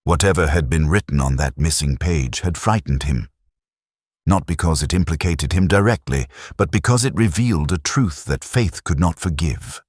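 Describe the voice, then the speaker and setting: A man narrating a scripted first-person detective story in a measured, formal, literary manner. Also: rumbling low, breathy edge, no room sound, clean studio sound.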